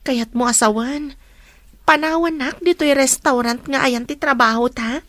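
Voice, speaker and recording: A woman's voice: high voice, moderate pace, a bit bright, dry recording. A woman playing a concerned, curious co-worker in a radio drama, with a Filipino accent. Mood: curious, friendly. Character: worried, curious female restaurant co-worker and friend.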